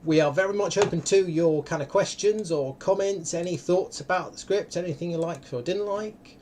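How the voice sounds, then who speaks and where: A man hosting an online live event in a casual, unscripted way, often halting and interrupting himself. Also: high-pitched, darkish tone, a little hiss or hum. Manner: rambling, halting, self-interrupting. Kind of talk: casual, unscripted livestream talk. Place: indoor room, online video call.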